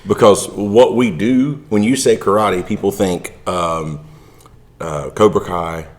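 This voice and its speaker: Male podcast host, very low voice, rambling casual storyteller. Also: slow. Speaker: martial arts podcast host.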